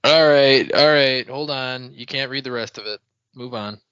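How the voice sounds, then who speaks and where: A man's voice: medium pitch, moderate pace, pushed and bright, smooth, no room sound, muffled recording. A man co-hosting a podcast with casual banter, opinionated and wry, often teasing. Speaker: podcast co-host.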